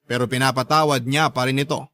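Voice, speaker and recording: A man who works as a radio host, narrating a first-person story in a measured, sentimental, dramatic style. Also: mid-range voice, fairly even intonation, sharp tone, no room sound, studio quality.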